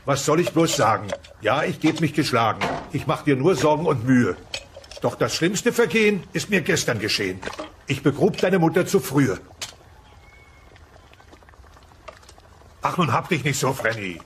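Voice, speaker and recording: A man's voice: fairly high pitch, big pitch swings, moderate pace, a little hiss or hum. A man playing a bumbling, blustering dinosaur husband and father in a German-dubbed comedy audio play. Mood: wheedling. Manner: evasive, wheedling, blustering.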